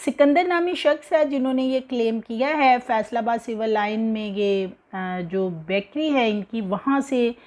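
A young woman with a Pakistani Urdu accent delivers news commentary in a conversational way, full of fillers and indignation. Her voice sits a little high. She talks to the camera from a home living room. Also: unhurried, hardly any pauses, a little mellow. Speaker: news presenter. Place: indoor living room with TV behind.

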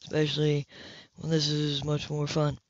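A woman's voice: deep voice, monotone, normal speed, voice pushed down, lightly acted. A woman voicing an easygoing, teasing male sailor in an audiobook. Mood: flirty. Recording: dull, lo-fi home recording.

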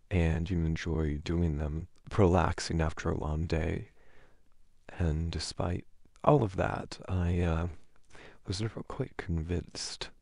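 A man playing a flustered, sheepish, affectionate boyfriend in a hesitant, halting, wordy ASMR roleplay. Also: deep voice, vocal fry, darkish tone, no echo, studio quality, heavily acted. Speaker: audio roleplay performer.

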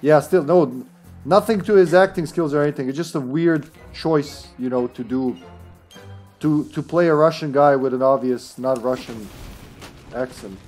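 A man's voice: on the high side, moderate pace, darkish tone, soft background music. A man in his thirties chatting casually on a headset while streaming a video game, laughing often and trailing off mid-sentence. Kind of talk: casual gameplay commentary. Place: indoor room at home.

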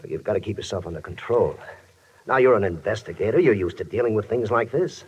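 An actor playing a frank, sober defense lawyer with an earnest, measured manner in a radio drama. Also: male voice, lowish voice, animated, darkish tone, husky. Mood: sober, concerned. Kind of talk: 1950s radio drama acting. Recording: muffled 1950s radio broadcast recording.

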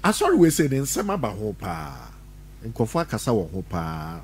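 A middle-aged man from Ghana hosting a radio interview, prompting his guest with short questions and steady "okay"s. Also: on the low side, normal speed, slightly rough. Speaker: radio host. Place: small radio studio. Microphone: studio mic on boom arm.

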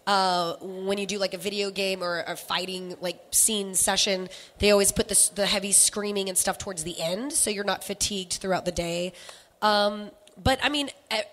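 A young woman with a bright, forceful voice chats on a convention panel. She talks a lot, laughs often and tosses in quick asides. She speaks into a handheld microphone in an indoor room. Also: medium pitch, steady tone. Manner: chatty, laughing, fast asides. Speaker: voiceover actor and singer on a panel. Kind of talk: casual panel talk.

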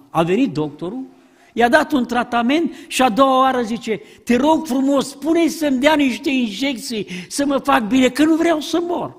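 An older man preaches from the pulpit, his voice pitched high for a man. He speaks in an animated way, and the church hall carries a long reverb behind him. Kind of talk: sermon. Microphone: pulpit mic.

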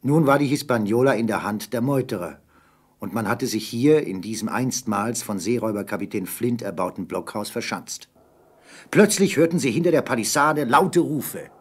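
A man as the measured narrator of a German adventure radio play, telling the story with a husky voice. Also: medium pitch, animated. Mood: measured, narrative.

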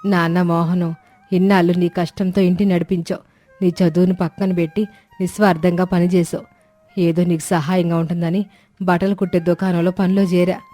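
A woman's voice: on the low side, little pitch movement, normal speed, mellow, soft background music, natural voice. A woman voicing an affectionate, persuasive mother of grown sons in a family story. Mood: coaxing.

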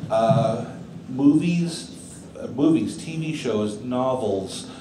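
A man's voice: mid-range voice, animated, slow. A man speaking on a convention panel, taking audience questions with playful banter into a handheld mic. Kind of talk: casual panel Q&A. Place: large convention hall room. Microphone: handheld mic, far camera. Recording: echoey room from far off, background noise.

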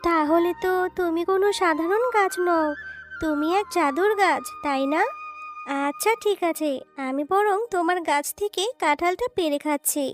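নারীকণ্ঠে খুব চিকন গলার দুঃখী মা শিয়ালিনী. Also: female voice, very high voice, moderate pace. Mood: grateful, hopeful. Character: poor hardworking mother fox, earnest and grateful. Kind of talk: children's fairy-tale cartoon dubbing. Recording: children's music behind the voice.

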